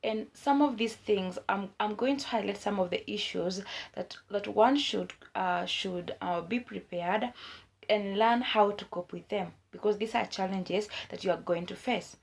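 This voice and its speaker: A young woman vlogger with a hoarse voice from a cold, giving earnest advice in a casual way, with many fillers. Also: mid-range voice, measured pace. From Kenya. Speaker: YouTube vlogger.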